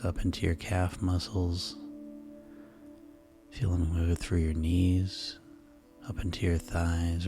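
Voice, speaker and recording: A man guiding a sleep hypnosis session in a slow, soothing, repetitive way. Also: low voice. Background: music.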